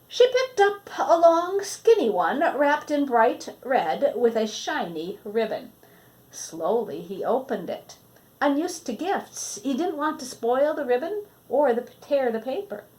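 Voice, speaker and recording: A woman reading a children's story aloud expressively, with an animated delivery, as if to listeners in front of her. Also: sits high, poor audio. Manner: animated, addresses listeners, pausing to show pictures. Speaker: storybook reader.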